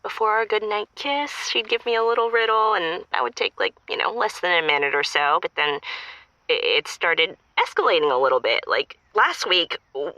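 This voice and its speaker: A woman playing an exasperated caller to an advice show, telling her relationship woes in a chatty, expressive way. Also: mid-range voice, no room sound, rough recording. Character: exasperated, animated girlfriend phoning for relationship advice.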